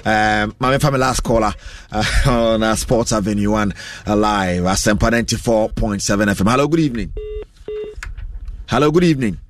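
A man with a Ghanaian accent hosting a phone-in radio sports show, greeting callers briskly and switching between Twi and English. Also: on the low side, distant mic.

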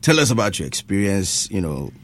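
A man's voice: sits low, big pitch swings, fairly quick, faint music behind. A man hosting a studio interview, asking playful, curious questions. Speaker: interview host.

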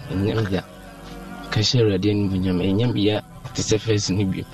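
A Ghanaian man calling a radio show to give an earnest, halting testimony. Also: on the low side, level tone, a little mellow, recorded from a distance, faint music behind. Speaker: caller giving a testimony.

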